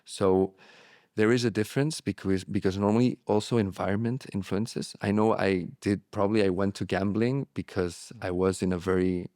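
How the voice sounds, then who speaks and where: A man whose first language is Spanish, speaking candidly as an interview guest, reflective and halting with frequent restarts. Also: low-pitched, fairly even intonation, a little mellow, no echo, clean studio sound. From born in Morocco, raised abroad. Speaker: guest, relapse prevention therapist.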